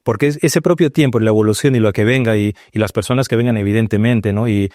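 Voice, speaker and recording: A man from Peru, a guest on a studio panel, talking through his thoughts slowly with many pauses and restarts. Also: on the low side, darkish tone, dry recording. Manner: searching, hesitant, full of restarts and pauses. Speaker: panel guest, paranormal researcher. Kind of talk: reflective round-table discussion.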